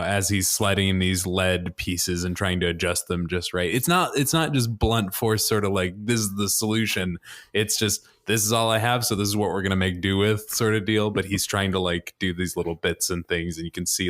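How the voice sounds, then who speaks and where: A man's voice: low-pitched, fairly bright tone. A man chatting casually as a player in a tabletop role-playing game, improvising what his character does.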